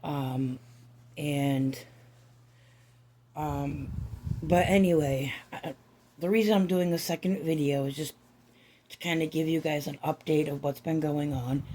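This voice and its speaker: A woman chatting softly in a home vlog, her voice raspy and hoarse from a raw throat, halting with many ums and restarts. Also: low-pitched, fairly even intonation, slight background noise. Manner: soft, hushed, near-whispering. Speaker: doll-collecting vlogger. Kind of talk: casual vlog chat.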